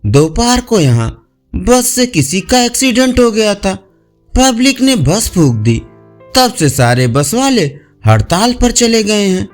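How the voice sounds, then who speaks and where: A man's voice: high-pitched, very animated, takes it slowly, faint music behind, exaggerated voice. A man voicing a friendly, helpful young male office colleague in an audio story, casual and persuasive. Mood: concerned, helpful.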